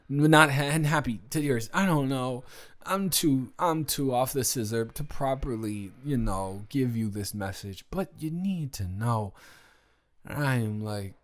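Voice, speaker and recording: A man's voice: on the low side, lightly acted. A man playing a drunk young roommate sincerely confessing love in an intimate roleplay audio drama, stammering and rambling as he speaks to the listener. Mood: teary, happy. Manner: stammering, rambling, repeating words, tipsy.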